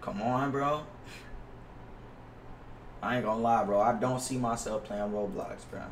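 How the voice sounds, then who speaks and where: A young American man talks to his stream chat in a slangy, griping, swear-heavy way. His medium-pitched voice comes through a roomy, slightly distant mic with faint noise behind it. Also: unhurried. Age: young adult. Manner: slangy, profane, complaining. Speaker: livestreamer playing a basketball video game. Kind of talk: casual livestream chat with viewers. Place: indoors at a gaming setup.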